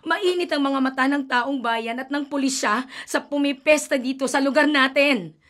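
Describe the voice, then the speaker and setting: Desperate middle-aged mother, high voice, tremulous, whispery, anxious, radio drama. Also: normal speed, a bit bright. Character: desperate middle-aged mother hiding her undead daughter.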